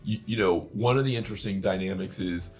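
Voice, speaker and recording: Man, very low pitch, husky edge, casual talk co-host, faint background music. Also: a little fast, darkish tone. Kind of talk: casual discussion.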